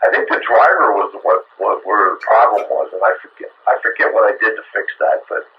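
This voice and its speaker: A man's voice: fairly low pitch, brisk. A man talking casually as a radio operator, heard through a muffled two-way radio with faint static. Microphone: radio set microphone heard over air.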